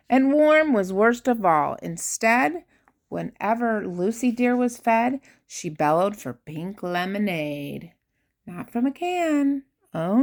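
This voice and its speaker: An older woman, a grandmother, reads a rhyming children's picture book aloud with expressive, theatrical emphasis on key words. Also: on the high side. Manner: theatrical emphasis, drawn-out key words, dropping to hushed asides.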